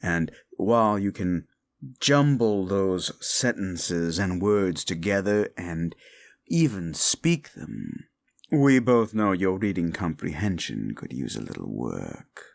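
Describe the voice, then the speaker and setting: A man voicing a scornful male demon bound by a wish contract, talking down to the listener in a slow, mocking audio roleplay. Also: sits low, sing-song, vocal fry, dry recording, heavily acted.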